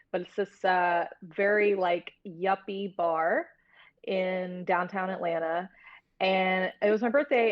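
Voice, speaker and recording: Woman, casual and candid, lo-fi audio. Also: medium pitch. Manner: informal, candid, rambling. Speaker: film producer and guest. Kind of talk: casual interview storytelling.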